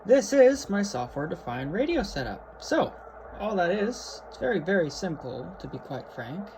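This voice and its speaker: A man's voice: high voice, big pitch swings, moderate pace. A man giving an informal, matter-of-fact, slangy hobbyist tour of his gear in an unscripted way, recorded indoors. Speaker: hobbyist presenter. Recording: clearly noisy amateur video recording.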